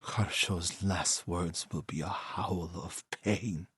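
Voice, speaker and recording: An actor playing a grieving, vengeful warrior monk in feudal Japan for a video game, with a husky, solemn, intense and formal delivery. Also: male voice, low-pitched, fairly even intonation, takes it slowly, fairly bright tone, no room sound, studio quality, exaggerated voice. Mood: grim, bitter. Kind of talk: dramatic video game voice acting.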